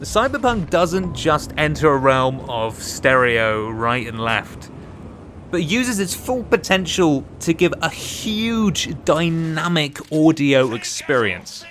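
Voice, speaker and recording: A man's voice: a little high, very animated, slow, fairly bright tone, slight background noise. A man narrating a scripted video game countdown as a reviewer, with an emphatic presenter's delivery. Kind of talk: scripted narration of a top five games list.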